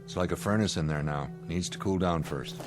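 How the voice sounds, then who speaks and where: Deep-voiced, stern spaceship commander, acted by a man. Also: fairly quick, over music, lightly acted. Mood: matter-of-fact. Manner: firm, clipped, commanding. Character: gruff, stern male spaceship commander, practical and impatient. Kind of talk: audio drama acting.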